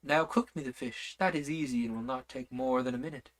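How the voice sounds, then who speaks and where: A man voicing a gentle queen who speaks softly in a cooing manner while reciting a riddle in a storybook reading. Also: mid-range voice, a little fast, close to an everyday voice. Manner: soft, cooing, dreamy.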